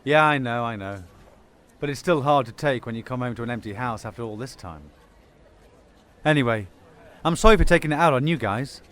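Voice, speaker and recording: Actor as blunt, wounded British footballer, mid-pitched. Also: male voice, animated, fairly quick, pauses often, fairly bright tone, natural voice. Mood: subdued. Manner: blunt, colloquial. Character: wounded, hot-tempered male Sunday-league footballer. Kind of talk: full-cast sci-fi audio drama.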